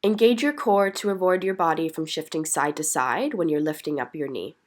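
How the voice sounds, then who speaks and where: A woman's voice: low voice, fairly quick, darkish tone. Stuffy-sounding woman giving workout instructions. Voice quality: slightly stuffy, congested. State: stuffy from being sick. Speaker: fitness vlogger and workout instructor.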